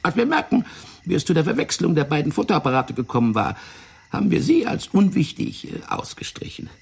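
An actor in a German radio play playing a fat, wily, self-righteous French intelligence officer, explaining things in a theatrical, condescending way. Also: male voice, on the high side, wildly swooping pitch, a little fast, gravelly, husky, exaggerated voice. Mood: smug, explanatory. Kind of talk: German radio drama acting.

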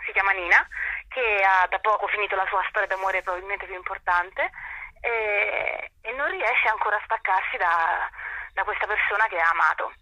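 A woman's voice: medium pitch, bright, forceful tone, no echo, rough recording. A woman in a conversational interview, explaining as an actor and often breaking into chuckles. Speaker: actor interviewed about a stage show.

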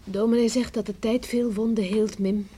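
An actress in a radio play playing a hopeful young farmer's daughter, eager and earnest in manner. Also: female voice, fairly high pitch, steady tone, normal speed, mellow, slightly rough. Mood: concerned. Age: young adult. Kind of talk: Dutch radio play acting. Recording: noisy old radio play recording.

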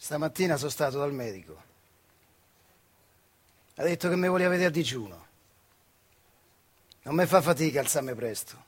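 Old retired labourer, husky, higher-pitched, central Italian accent, colloquial, theatrical reminiscence. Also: male voice, animated, moderate pace, pauses often. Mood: plain, wry. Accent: central Italian, Marche. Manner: earthy, colloquial, acts out dialogue. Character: retired Italian factory worker recalling his wartime boyhood. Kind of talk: theatrical monologue storytelling in dialect-tinged speech.

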